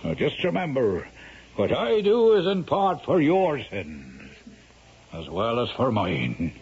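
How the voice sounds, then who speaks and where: A sly Irish rogue of a storyteller, garrulous and wheedling, with a swooping, animated delivery. He blusters and stammers through an old radio play, on a dull recording with faint noise. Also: medium pitch, slow. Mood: anxious, blustering. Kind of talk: 1970s radio drama acting. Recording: dull, noisy 1970s radio broadcast.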